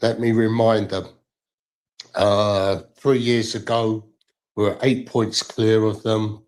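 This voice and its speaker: A male caller with a croaky voice from illness, talking through football in a measured, old-school way. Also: lowish voice, flat delivery, darkish tone. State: croaky from stomach flu. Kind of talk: call-in football chat.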